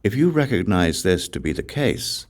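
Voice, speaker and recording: A man reading scripture as an audiobook narrator, with measured, deliberate phrasing and pauses between short phrases. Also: on the low side, very animated.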